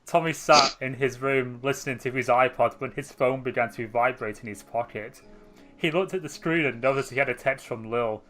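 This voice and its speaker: Young male reader, mid-pitched, offhand asides, casual fan fiction read. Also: moderate pace, fairly bright tone, faint music behind, poor audio. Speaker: story reader, channel host.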